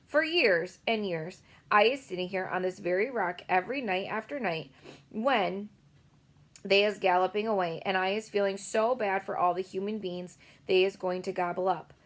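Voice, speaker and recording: A woman voicing a big, kindly male giant who talks in slow, muddled grammar. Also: medium pitch, natural voice. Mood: earnest, rueful. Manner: mangled grammar, slow and emphatic.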